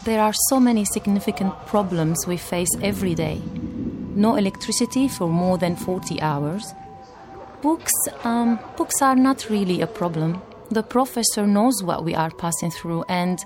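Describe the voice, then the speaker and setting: Young woman from iraq, earnest reading, clear music behind. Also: fairly low pitch. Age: young adult. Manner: earnest, measured, non-native phrasing. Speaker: young blogger reading her posts. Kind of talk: reading personal blog entries aloud. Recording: with background music.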